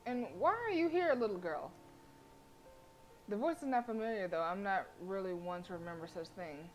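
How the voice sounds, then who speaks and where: A woman game streamer reading visual novel narration aloud, expressive, with casual blunt reactions. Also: medium pitch, normal speed, soft background music, rough recording.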